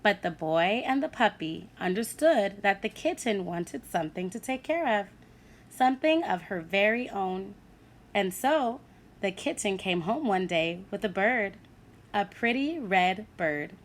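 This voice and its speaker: Animated woman teacher reading a picture book. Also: medium pitch, a little slow. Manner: animated storytelling, asks listeners questions. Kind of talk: children's picture-book read-aloud.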